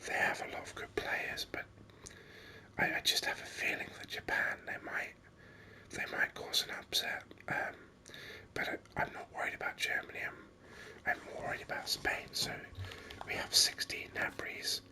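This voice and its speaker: Whispering man, crisp ASMR game commentary. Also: moderate pace, sharp tone. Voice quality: breathy, unvoiced whisper. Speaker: gaming video host.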